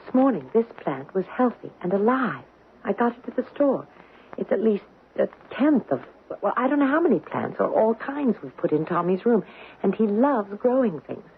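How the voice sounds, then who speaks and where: An anxious young mother in a haunted-house radio play. She speaks haltingly, and the sound is narrow and tinny over a clear layer of noise. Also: a little high. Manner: hesitant, halting, stammering. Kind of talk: radio drama acting. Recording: tinny, noisy old radio broadcast.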